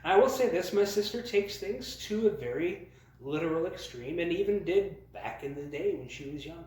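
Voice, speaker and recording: A man telling humorous personal stories as a host, in a theatrical, mock-grand way with dramatic pauses. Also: fairly high pitch, animated, darkish tone. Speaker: storyteller, host of a storytime series. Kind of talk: humorous personal storytelling to camera. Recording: very echoey, roomy sound.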